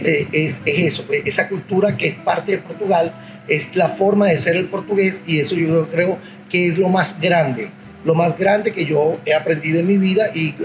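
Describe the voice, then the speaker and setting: A Venezuelan man answering interview questions in a relaxed, rambling way, repeating words and filling pauses with "este". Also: fairly high pitch, rough recording. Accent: Venezuelan Spanish. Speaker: interviewee, singer of Portuguese music.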